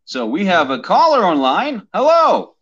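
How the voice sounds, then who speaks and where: A man's voice: high-pitched, wildly swooping pitch, unhurried, fairly bright tone, voice pushed up, exaggerated voice. A man playing a brash male radio DJ with an exaggerated announcer delivery, heard with dull lo-fi sound.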